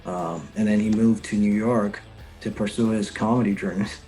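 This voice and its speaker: A man from China with a Chinese accent, a guest comedian chatting casually and telling stories with many pauses and fillers. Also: fairly low pitch, soft background music, low-quality recording. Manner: hesitant, many fillers, animated storytelling. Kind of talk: casual chat.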